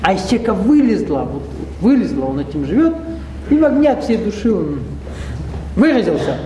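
A man's voice: high-pitched, very animated, fairly quick, dark tone, muffled recording, slight background noise. A man leading a spiritual seminar, lecturing a live audience in a conversational way with rhetorical questions and wry asides. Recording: roomy hall echo.

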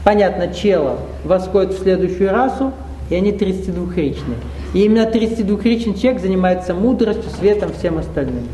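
A man with a high, warm voice teaches a spiritual seminar to a live audience. He talks conversationally, asking the room questions and slipping in wry asides. The sound carries the echo of a roomy hall. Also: a little fast, slight background noise.

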